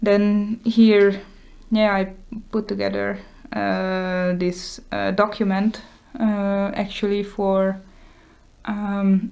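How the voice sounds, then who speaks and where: A woman's voice: mid-range voice, a little mellow, highs cut off. A woman whose first language is Hungarian, talking casually and hesitantly with many fillers as she shows her journal. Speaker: vlogger showing her journal. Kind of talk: casual show-and-tell.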